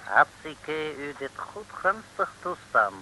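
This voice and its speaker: An actor playing a pompous, scheming island ruler as a broad comic caricature, speaking ceremonious pidgin in an old radio serial. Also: male voice, fairly high pitch, very animated, takes it slowly, sharp tone, a little hiss or hum, exaggerated voice, poor audio. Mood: crafty, smug. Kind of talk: 1950s Dutch comic radio serial acting.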